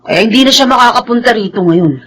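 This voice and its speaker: An actress in a Filipino radio drama playing an earnest, lovelorn young tomboy who pleads for affection. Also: female voice, on the high side, animated, fast talker, a bit bright.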